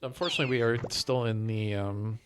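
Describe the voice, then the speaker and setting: A man's voice: lowish voice, steady tone, a little fast, background noise. A man streaming a game, chatting casually and thinking aloud to his viewers. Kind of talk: casual chat while playing a game. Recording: music behind the voice.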